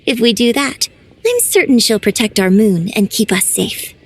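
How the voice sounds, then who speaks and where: An actress playing a polite, composed young woman in a video game, who speaks in a formal and measured way. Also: fairly high pitch, big pitch swings, noisy background. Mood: explaining.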